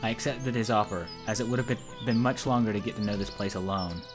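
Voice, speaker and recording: A man's voice: on the low side, fast talker. A young man reading visual novel narration aloud and joking with friends as he goes. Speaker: narrator in a group let's play. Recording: music behind the voice.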